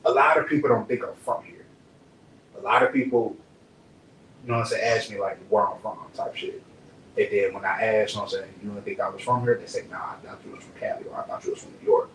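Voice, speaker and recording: A man's voice: lowish voice, fast, a bit bright. A young man from Dallas, a rapper and podcast guest, talking in a laid-back, slangy way, looping through filler phrases. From Dallas, Texas. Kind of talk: relaxed interview chat. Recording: echoey room, mic far off, faint hum.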